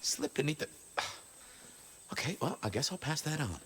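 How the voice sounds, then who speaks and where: An actor playing a sardonic, weary investigator with a wry, offhand delivery in a video game. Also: male voice, fairly high pitch, sing-song, normal speed, a bit bright, a little hiss or hum. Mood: sardonic, impatient. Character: sardonic, weary male investigator making casual deals. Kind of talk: video game dialogue acting.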